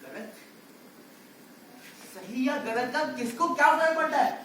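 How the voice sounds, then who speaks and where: A man's voice: very high-pitched, expressive, unhurried, a bit bright, full character voice. A man playing a desperate, bitter circus clown and father on stage, declaiming and firing off rhetorical questions. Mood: indignant, desperate. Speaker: stage actor. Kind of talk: amateur Hindi stage play acting. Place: indoor stage, recorded from the audience area. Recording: distant, very echoey hall, faint noise.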